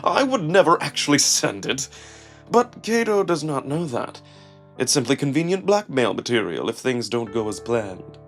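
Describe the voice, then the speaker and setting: A man's voice: medium pitch, sing-song, normal speed, soft background music. A man playing a sly, smug male jackal schemer with haughty, precise, theatrical speech.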